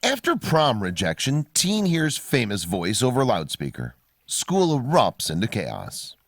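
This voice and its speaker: A man reading scripted narration of a human-interest story in a measured storytelling manner. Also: mid-range voice, wildly swooping pitch, background noise.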